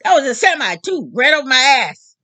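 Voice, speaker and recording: A woman in her late fifties talking openly to her audience in a casual, animated, joking monologue. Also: sits high, fairly quick, no room sound. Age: 50s. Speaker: vlog host.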